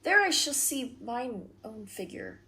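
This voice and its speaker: A woman's voice: on the high side, big pitch swings, unhurried, pushed and bright, exaggerated voice. A woman acting a world-weary, melancholy noble in a Shakespearean radio play, sardonic and disdainful.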